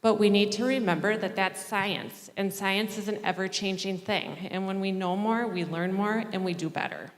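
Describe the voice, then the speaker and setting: A mother reading a prepared statement at a school board public comment, earnest and measured. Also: mid-range voice, steady tone. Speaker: parent speaking at public comment. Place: school board meeting hall. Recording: long reverb in a large hall.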